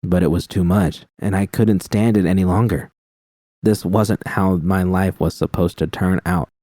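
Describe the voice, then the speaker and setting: Deep-voiced man reading fan fiction. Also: steady tone, moderate pace, dark tone, no room sound, clean studio sound. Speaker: reader. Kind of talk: first-person fan fiction narration.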